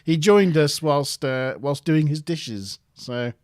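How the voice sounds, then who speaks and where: A man with a deep, boomy voice, hosting a casual review livestream and joking with his co-host. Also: slow pace, darkish tone, dry recording, studio quality. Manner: jokey, laughs often, playful announcer voice for the intro. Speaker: podcast host. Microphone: good desk mic.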